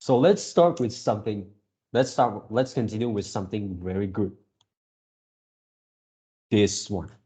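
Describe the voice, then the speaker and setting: A man in his early twenties talking casually to viewers as a channel host, in a halting way, often repeating and rephrasing himself. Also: on the low side, mellow, slightly rough. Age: early 20s. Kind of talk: casual reaction video talk to viewers.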